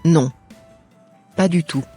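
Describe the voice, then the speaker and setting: A woman playing a guilt-ridden young wife in an animated drama, speaking in an earnest, formal way. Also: sits low, slow pace, husky, faint music behind, full character voice. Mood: hesitant, uneasy.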